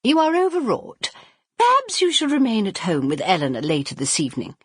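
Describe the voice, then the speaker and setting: A woman's voice: medium pitch, expressive, a little fast, breathy edge, no echo, clean studio sound, pitched above the performer's own voice. A woman voicing a stern, proper Victorian mother who speaks in a reproving, formal way.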